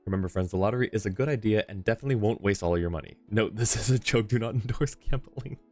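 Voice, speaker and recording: A man's voice: lowish voice, quick pace, mellow, soft background music. A man livestreaming a video game, reading along and adding casual, dry, joking asides into a close mic.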